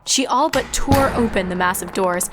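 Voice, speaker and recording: A woman's voice: mid-range voice, moderate pace, music in the background. A woman narrator reading a scripted story aloud. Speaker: fan fiction narrator.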